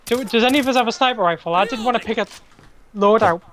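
A man with a notably high voice banters as co-host of a gaming stream. His manner is dry and teasing. Faint noise and game gunfire sit behind his close mic. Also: fast, a bit bright.

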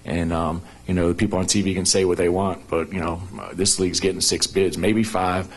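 A middle-aged man coaching basketball, speaking quickly in a fairly low voice at an arena press conference. He answers questions through a table microphone, running his thoughts together with a lot of "you know" and restarts. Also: little pitch movement, husky. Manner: rapid, with frequent "you know" fillers and restarts. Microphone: table microphone on a stand.

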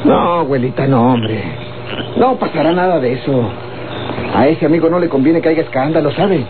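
An actor playing a young rural Mexican grandson, evasive and placating, in a folksy, coaxing radio soap style. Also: male voice, a little high, normal speed, close to an everyday voice. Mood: reassuring. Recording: old tinny, noisy radio recording.

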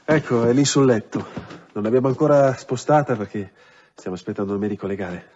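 An actor playing a plain-spoken, practical local carabiniere in a radio drama. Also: male voice, medium pitch, brisk, noisy background, lightly acted. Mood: matter-of-fact. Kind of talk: Italian radio drama acting. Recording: dull radio drama recording.

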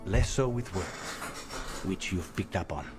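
Slow, halting shy assassin. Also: male voice, deep voice, animated, music in the background. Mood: shy, tender. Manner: halting, hesitant. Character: awkward, earnest male assassin, shy romantic.

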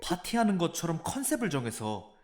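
A man's voice: a little high, big pitch swings, fairly quick. A man voicing a stiff, formal young junior coworker who speaks to his seniors very politely, in an amateur audio drama.

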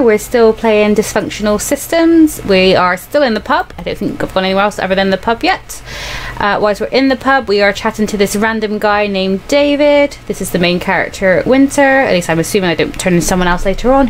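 A woman's voice: mid-range voice, lively intonation, brisk, a little hiss or hum. A young adult woman hosting a gaming video, with casual commentary and reading aloud.

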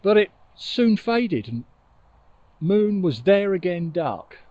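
Animated middle-aged male storyteller. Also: high voice, no room sound, dull audio. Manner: dramatic, with pauses for effect. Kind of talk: expressive children's storytelling. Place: outdoors on an open riverbank. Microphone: lapel mic with furry windshield.

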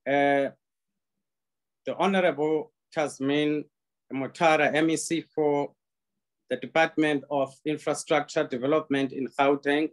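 A man's voice: a little high, poor audio. Polite South African man moderating. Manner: hesitant, polite. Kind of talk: formal introduction. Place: indoor room, lounge. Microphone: video call webcam.

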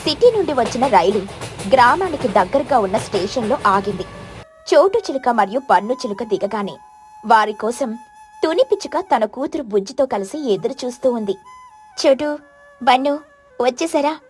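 A woman narrating a children's cartoon story in a gentle storybook manner. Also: on the high side, animated, moderate pace. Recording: faint music underneath.

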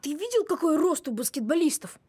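A woman voicing an earnest, thoughtful, determined little boy in an animated cartoon, measured and sensible. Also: high voice, a little hiss or hum. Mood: glum, earnest.

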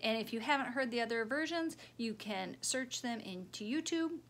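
A middle-aged woman reading a children's storybook aloud like a preschool teacher, expressive and emphatic, recorded in a room at home. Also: a little high, normal speed. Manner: expressive, emphatic, simple phrasing for small children. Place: small living room, indoors.

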